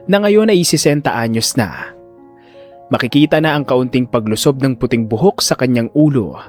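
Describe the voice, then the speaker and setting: A man narrating a scripted audio drama in a deliberate, theatrical storytelling manner. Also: medium pitch, darkish tone. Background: faint music.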